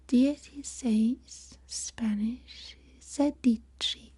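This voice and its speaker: A woman softly whispering a language lesson in ASMR style, listing words one by one. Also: fairly high pitch, fairly even intonation, very slow, slightly rough. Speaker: language teacher.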